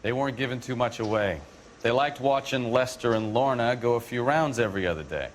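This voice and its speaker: An actor playing a blunt, sardonic 1940s detective partner in a game cutscene. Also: male voice, medium pitch, a little fast, a little hiss or hum, lightly acted. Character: gruff, wisecracking male 1940s detective.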